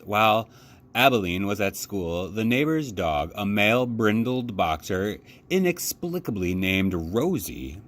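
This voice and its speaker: A man reading a children's book aloud as a storytime host, with measured, deliberate phrasing and dramatic emphasis. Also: on the low side, fairly bright tone.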